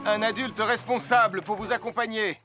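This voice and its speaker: Man acting cartoon fireman, high-pitched for a man, instructive, reassuring, responsible, music underneath. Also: fairly even intonation, normal speed, close to an everyday voice. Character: friendly, responsible adult male firefighter giving safety advice. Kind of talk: French-dubbed children's cartoon acting.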